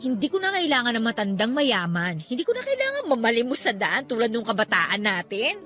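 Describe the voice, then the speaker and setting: A woman's voice: on the high side, wildly swooping pitch, brisk, a bit bright, soft background music. A woman playing a playful, chatty young businesswoman meeting an old flame in an audio drama, with a teasing, exclaiming manner. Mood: frank, breezy. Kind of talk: Filipino audio drama acting.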